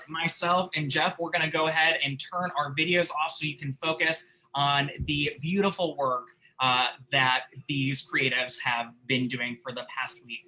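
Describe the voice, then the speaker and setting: Man, higher-pitched voice, very bright and forceful tone, host introducing an event. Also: a little hiss or hum, rough recording. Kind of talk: event introduction to an online audience.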